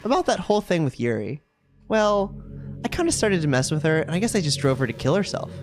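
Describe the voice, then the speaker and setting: A man's voice: a little high, very animated, a little fast. A man voicing a sweet, possessive, self-aware schoolgirl from a video game in a chatty, cutesy, confiding way. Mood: casual confession. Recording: music playing behind the voice. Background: game music.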